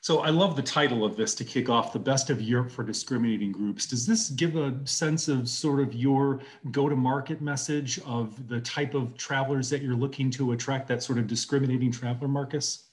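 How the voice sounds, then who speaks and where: A man's voice: medium pitch, brisk, hardly any pauses. A middle-aged man hosting an interview over a video call, upbeat and enthusiastic. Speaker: host.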